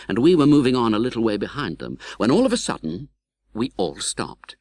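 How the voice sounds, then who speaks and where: Male audiobook narrator, English accent, dramatic and varied delivery, low register, dry studio sound. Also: a little mellow, no room sound.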